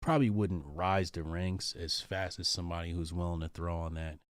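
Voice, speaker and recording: A man's voice: sits low, fairly even intonation, moderate pace, no echo. An American man reading a book aloud and commenting on it, stopping often for ums, uhs and restarts. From United States.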